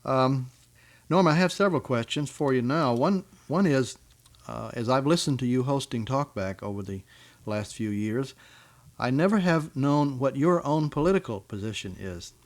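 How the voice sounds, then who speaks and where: A middle-aged man with a medium-pitched voice hosts a casual radio interview from a small studio. His delivery is folksy and inquisitive, in the manner of an amateur interviewer. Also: expressive, normal speed. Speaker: guest interviewer on a radio talk show.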